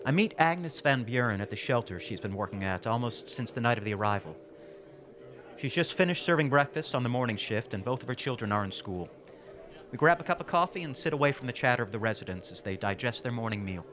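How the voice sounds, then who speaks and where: A man playing a measured, matter-of-fact reporter narrating his interviews in an audio drama. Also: medium pitch, expressive, a bit bright, a little hiss or hum, lightly acted. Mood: measured, curious. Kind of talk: audio drama, first-person documentary narration.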